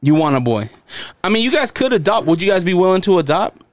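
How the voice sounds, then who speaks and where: A man co-hosting a podcast, teasing and lively, often answering with "facts". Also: high-pitched, fast talker, no room sound. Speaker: podcast co-host. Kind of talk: casual podcast chat.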